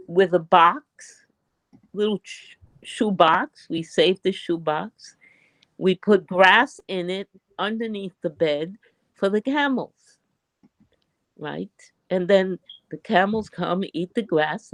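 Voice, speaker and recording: A woman from Puerto Rico, on a video call, gently recounting a childhood holiday custom. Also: medium pitch, no echo. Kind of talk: casual storytelling on a video call.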